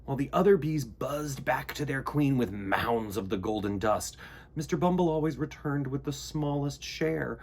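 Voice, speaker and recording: A man reading a children's picture book aloud in an expressive, theatrical storytelling manner. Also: mid-range voice, normal speed.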